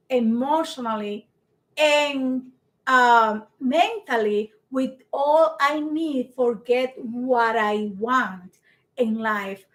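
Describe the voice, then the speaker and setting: A woman's voice: high voice, a bit bright. A woman co-hosting a casual chat in English, which is not her first language, arguing earnestly and emphatically with frequent restarts. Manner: earnest, emphatic, halting non-native English with many restarts. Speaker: podcast co-host.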